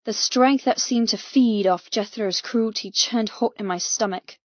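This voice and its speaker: A woman's voice: medium pitch, a little slow, dry recording, lo-fi sound. A woman reading scripted first-person fiction as a dramatic audiobook narrator.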